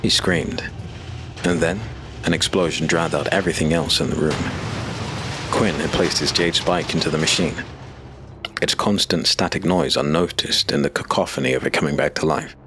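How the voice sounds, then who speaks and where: A man narrating a dark fantasy audio drama in measured, dramatic storytelling. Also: rumbling low, background noise.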